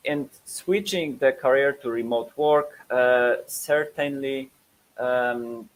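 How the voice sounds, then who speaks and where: Polish man, 30s, webinar presenter, hesitant, mid-pitched voice. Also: rough recording. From Poland. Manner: hesitant, many fillers and repeated words.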